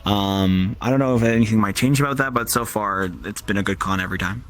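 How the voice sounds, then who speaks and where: A man's voice: fairly low pitch, fast talker, a bit bright. A man in his twenties chatting casually as a guest, hesitant with frequent restarts and ums. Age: 20s. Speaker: guest streamer. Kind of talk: casual chat.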